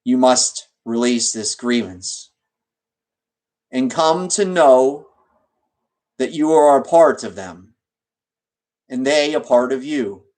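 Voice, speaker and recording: A man leading a guided meditation in an instructional way, speaking deliberately in short phrases with pauses mid-sentence. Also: medium pitch, lively intonation, darkish tone, rough recording.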